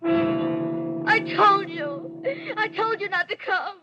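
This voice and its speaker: Desperate guilty sweetheart, high register, 1940s radio melodrama, dull vintage sound. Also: female voice, fairly even intonation, slow pace, loud music behind the voice. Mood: desperate, confessing. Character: desperate lovestruck woman, guilty and confessing. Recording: muffled old radio recording.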